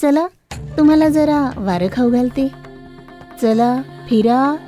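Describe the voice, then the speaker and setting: Kindly young goddess for a storybook cartoon, played by a woman; high voice, reassuring yet firm, music behind. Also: slow pace, warm tone. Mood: stern warning. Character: kindly goddess disguised as a young bangle seller.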